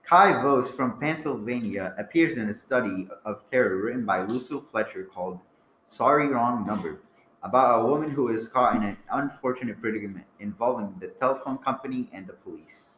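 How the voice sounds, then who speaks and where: A man's voice: mid-range voice, fairly quick, fairly bright tone, a little hiss or hum, close to an everyday voice. A man playing a theatrical, ominous horror host who introduces a radio play. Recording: echoey room with music.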